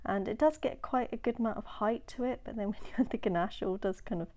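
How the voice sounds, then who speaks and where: Woman, nasal with a cold, mellow voice, chatty baking voice-over, soft whispered asides. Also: a little high, quick pace. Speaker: home baker.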